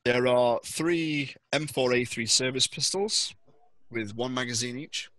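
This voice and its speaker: Male game master, bright forward voice, explaining the scenario to players. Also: mid-range voice, measured pace, no room sound, poor audio. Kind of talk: running a tabletop game session. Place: indoors at home, remote group video call. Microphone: headset.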